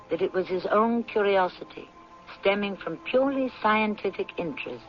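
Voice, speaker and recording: A woman narrating a radio drama as its host, with a dramatic scripted delivery. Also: fairly low pitch. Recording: muffled old radio, music behind.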